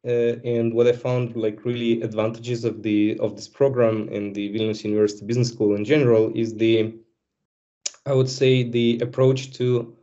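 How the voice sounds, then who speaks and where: A man's voice: mid-range voice, flat delivery, moderate pace, mellow. A man in his thirties from Belarus, giving a fluent, businesslike account of his studies as a student speaker. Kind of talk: personal testimonial. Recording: dull lo-fi sound.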